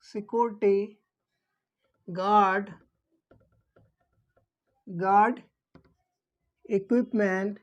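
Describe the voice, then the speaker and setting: A woman's voice: mid-range voice, fairly even intonation, drawn-out words, pauses often, muffled recording. A woman explaining things as a teacher, repeating key terms as if dictating them. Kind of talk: explanatory lesson for security guards.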